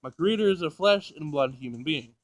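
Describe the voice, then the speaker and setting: A man's voice: on the high side, expressive, a little fast, no echo, close to an everyday voice. Visual novel android, read by a man: female machine, literal-minded, formal and emotionless in delivery. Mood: flatly corrective. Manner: pedantic, clinical, formal wording.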